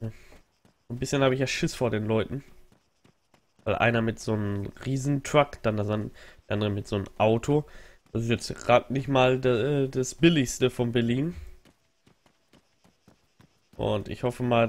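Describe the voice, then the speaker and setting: A man's voice: medium pitch, measured pace, long pauses. A young man with a stuffy nose from a cold, rambling casually and thinking aloud while he streams a game on a headset mic at home. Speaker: livestreaming gamer. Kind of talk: casual let's play commentary. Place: home gaming setup indoors.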